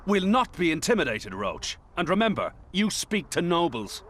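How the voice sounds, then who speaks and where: A man's voice: on the high side, sing-song, moderate pace, fairly bright tone, slight background noise. An actor playing a proud, haughty male nobleman in a fantasy game.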